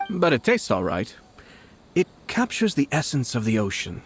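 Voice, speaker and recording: An actor playing a refined young nobleman in a video game, speaking in a measured, urbane, quietly playful manner. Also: medium pitch, wildly swooping pitch, background noise. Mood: calm, reflective. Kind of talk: video game character acting. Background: game music.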